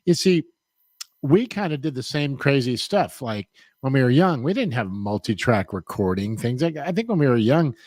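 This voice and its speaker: Older man, middle pitch, rambling and easygoing, dry close sound. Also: a little mellow, no room sound. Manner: rambling, laid-back, lots of fillers. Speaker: podcast host. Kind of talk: casual interview chat.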